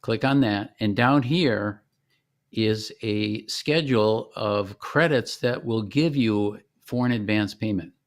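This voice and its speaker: A man giving an informal product demonstration as a company founder, explaining in a halting, conversational way. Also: lowish voice.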